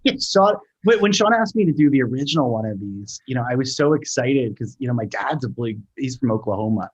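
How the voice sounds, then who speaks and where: A man with a husky, mid-pitched voice chats casually on a slightly dull, lo-fi recording. He is enthusiastic, yet his sentences come out in halting bursts. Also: lively intonation. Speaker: sound designer, guest. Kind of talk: casual chat.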